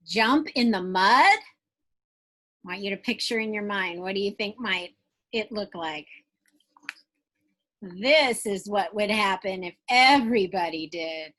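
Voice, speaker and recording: A woman's voice: mid-range voice, expressive, measured pace, pauses often, a bit bright. A woman teacher reading a picture book aloud to young children, stretching out phrases expressively and putting questions straight to her listeners.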